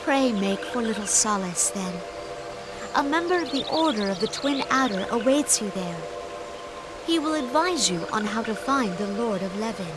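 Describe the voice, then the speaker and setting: A woman playing a gentle, dignified leader of a forest nation in a video game, speaking in a formal, measured, earnest and courtly way. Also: on the high side, animated, heavy background noise, natural voice. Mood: earnest, entreating. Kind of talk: scripted video game voice acting. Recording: loud music behind the voice.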